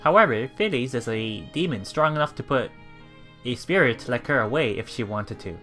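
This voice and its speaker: A man's voice: mid-range voice, animated, normal speed, sharp tone, faint music behind. A man reading a game aloud as a let's play streamer, reacting casually and repeating phrases as he puzzles things out.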